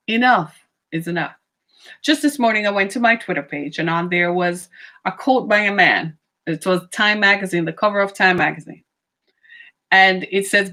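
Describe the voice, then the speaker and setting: A middle-aged woman giving an impassioned monologue, full of rhetorical questions and sudden swings in pitch and pace. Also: pushed and bright. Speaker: speaker on racism.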